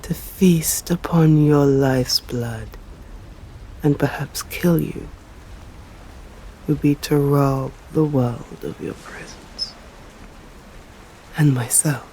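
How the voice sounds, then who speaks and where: A woman with an English accent playing a stern, courteous female vampire in an ASMR roleplay, speaking formally and deliberately in a breathy voice that often drops to a whisper. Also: low voice, mellow, slight background noise, poor audio. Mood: composed, intrigued. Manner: slow, deliberate, formal, menacing.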